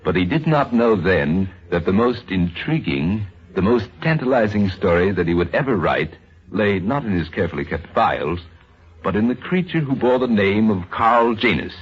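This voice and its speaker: A man's voice: on the low side, animated, normal speed, slightly rough. A man narrating a scripted old-time radio drama in a grave, theatrical manner. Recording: dull lo-fi old radio recording, music. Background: dramatic music.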